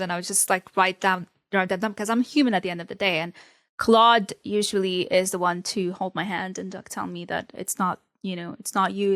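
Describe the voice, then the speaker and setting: A woman whose first language is Arabic or French talks through her work as a newsletter writer in a casual interview. She sounds animated and laughs easily, and her voice is recorded dry and close with almost no room sound. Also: mid-range voice, no echo.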